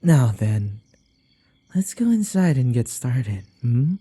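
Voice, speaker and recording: A man playing a possessive, teasing vampire in an ASMR roleplay, with a breathy voice that often drops to a whisper and a coaxing, taunting manner. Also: mid-range voice, very animated, slow, mellow, exaggerated voice. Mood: taunting, playful. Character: possessive, teasing male vampire who hypnotizes his captive.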